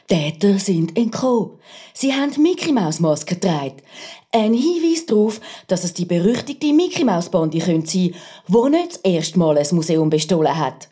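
A woman with a Swiss accent and a husky voice playing a conceited, sensation-hungry radio crime reporter in a children's audio drama. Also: medium pitch, dark tone.

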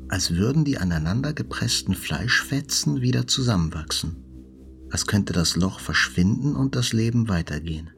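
An actor playing a wounded journalist who recalls an attack in a measured, reflective, scripted narration. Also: male voice, on the low side, expressive, gravelly, a bit bright. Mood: sober, reflective. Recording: prominent music under the narration.

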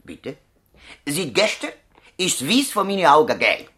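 A man's voice: fairly high pitch, huge pitch swings, unhurried, sharp tone, heavily acted. A man with a Swiss accent playing an affable, slightly bewildered dog breeder as a patient in a radio drama, hesitant and homely. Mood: hesitant, complaining. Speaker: actor. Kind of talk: naturalistic radio drama acting.

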